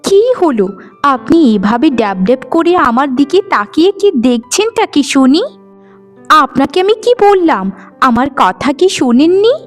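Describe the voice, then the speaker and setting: A woman playing a kind young forest maiden in a cartoon fairy tale, with a tender, expressive way of talking. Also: sits high, normal speed, soft background music. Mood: startled, scolding.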